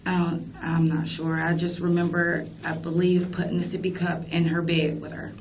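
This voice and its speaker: A woman's voice: lowish voice, monotone, normal speed, a little mellow. A young woman answering police questions in a tentative, matter-of-fact way. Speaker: interviewee in a police interrogation. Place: indoor police interview room. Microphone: distant room microphone. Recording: distant, muffled, noisy interview recording.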